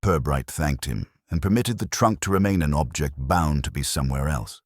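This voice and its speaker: A man reading a scripted detective story as narrator, in a dry, measured, literary manner. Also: very deep voice, darkish tone, no echo.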